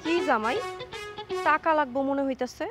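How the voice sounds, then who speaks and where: A woman acting a sharp-tongued, defiant daughter-in-law who rules the house in a family drama, with a Bangladeshi accent. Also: high voice, normal speed, loud music behind the voice, lightly acted. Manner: commanding, threatening, scornful.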